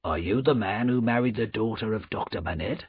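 A man voicing a quiet, wary revolutionary wine-shop keeper with a confiding, probing manner in a storybook reading. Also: mid-range voice, sing-song, a little slow, vocal fry, breathy edge, no echo, dull audio, heavily acted.

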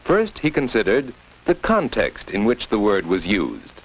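A man's voice: fairly low pitch, huge pitch swings, a little slow. An American man reading scripted narration for a 1940s educational film, on a muffled period soundtrack.

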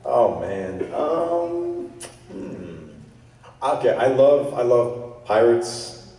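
A man's voice: medium pitch, extremely slow. A man in his thirties on a convention panel, joking and laughing as he talks. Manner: playful, laughing, very lively. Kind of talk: panel Q&A chat. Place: large convention hall stage. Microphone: handheld mic heard through hall speakers. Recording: very echoey hall from far off, crowd.

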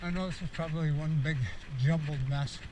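A man's voice: on the high side, fairly even intonation, brisk. A man of about sixty giving a candid, rambling, reflective monologue as a vlogger, recorded outdoors on a bike ride with an action camera. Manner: candid, meandering, self-reflective. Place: outdoors, cycling on a rural road. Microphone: action camera on the bike or rider. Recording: outdoor wind and road noise.

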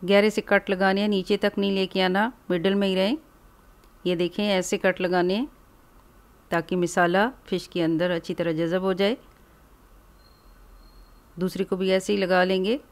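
A woman from Pakistan hosting a cooking channel, calmly explaining each step of a recipe in a voice-over. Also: mid-range voice, normal speed, pauses often. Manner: calm, instructive, explains each step plainly.

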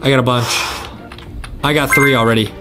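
A man's voice: mid-range voice, fairly even intonation, a little fast, music in the background. A young American man hosting gameplay commentary in a hyped, exclamatory, slangy way. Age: young adult. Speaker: gaming YouTube host.

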